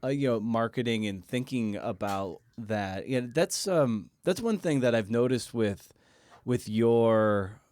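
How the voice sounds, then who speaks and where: A man's voice: mid-range voice, animated, a little slow, darkish tone. A man hosting a podcast in a casual, chatty way with wry, jokey asides. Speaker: podcast host.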